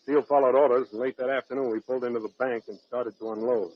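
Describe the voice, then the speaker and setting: A man's voice: medium pitch, fairly even intonation, fast talker, natural voice. An actor playing a blunt, stubborn American man who narrates and acts in an old-time radio jungle adventure. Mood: reflective narration. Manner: blunt, irritable. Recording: dull lo-fi vintage radio recording.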